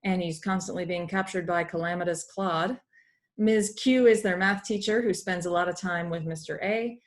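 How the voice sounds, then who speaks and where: A woman's voice: on the low side, moderate pace. A woman teaching through an educational read-aloud, explaining as she goes and slipping in informal asides. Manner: explanatory, acts out dialogue, breaks off for asides. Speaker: teacher reading a math comic aloud.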